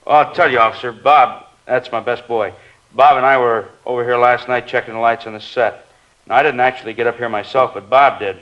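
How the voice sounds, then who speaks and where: A man's voice: medium pitch, fairly even intonation, a little fast, natural voice. A man playing a plain-spoken stage crew boss. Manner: plain-spoken, sure of himself. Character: helpful male stage crew boss, confident. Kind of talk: 1950s radio crime drama. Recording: muffled, hissy old radio recording.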